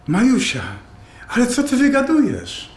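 Guy acting hot-tempered middle-aged dad in a radio play, self-pitying, big swings in pitch, bit of room echo. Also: huge pitch swings, unhurried, full character voice. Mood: defensive, confused. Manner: blustering, defensive. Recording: roomy sound with faint noise.

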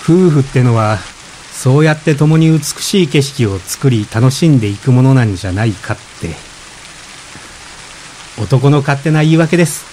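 An actor playing a polite, gentle, self-effacing expectant father in reflective radio drama dialogue. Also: medium pitch, lively intonation, moderate pace, a little hiss or hum, natural voice. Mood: gently reflective. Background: rain.

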